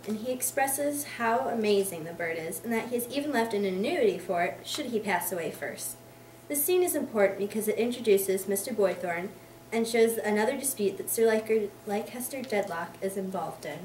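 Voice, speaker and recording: A woman's voice: medium pitch, animated, moderate pace, darkish tone. A young woman in her teens, a student, giving a scripted literary reading as narrator. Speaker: student reader. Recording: echoey room, distant mic, faint noise.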